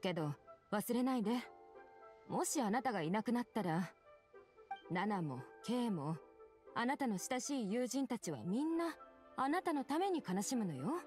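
A woman playing a grave, worried, perceptive physician in a video game, speaking in a measured, serious way. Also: a little high, big pitch swings, a little mellow, soft background music. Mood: worried, earnest. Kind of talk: dramatic Japanese game voice acting.

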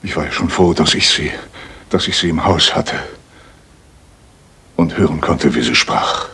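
Radio play confessor: middle-aged father, deep, heavily husky, halting, full of remorse. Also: sharp tone, full character voice. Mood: anguished. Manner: halting, earnest.

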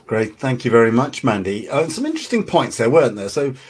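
A middle-aged man hosting a webinar over a video call, explaining in a measured way. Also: mid-range voice, slightly rough. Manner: measured, explanatory, lively intonation. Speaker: webinar host. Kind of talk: webinar moderation. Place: home office, video call.

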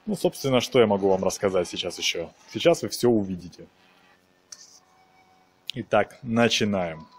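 A man's voice: fairly low pitch, moderate pace, pauses often, a little hiss or hum. A man giving casual, chatty game commentary as a let's-play host, explaining hesitantly as he plays. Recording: game music behind the voice. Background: video game music.

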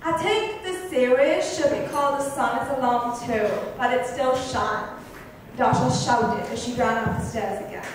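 A woman's voice: fairly high pitch, normal speed. A woman dramatically reading a novel's dialogue and narration aloud. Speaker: reader. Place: club lounge, indoors. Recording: echoey room, far mic, faint noise.

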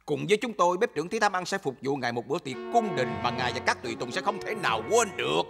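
Creaky, wise elder smurf. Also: male voice, a little high, big pitch swings, quick pace, over music. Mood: assured. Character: wise, calm elder smurf leader, persuasive. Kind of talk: Vietnamese cartoon dub.

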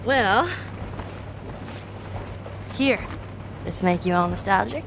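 A woman's voice: medium pitch, slow pace, noisy background. An actress playing a feisty, curious teenage girl survivor in a video game, with an American accent and a sassy, playful manner. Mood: chatty, curious. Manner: sassy, foul-mouthed, playful. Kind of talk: cinematic video game acting.

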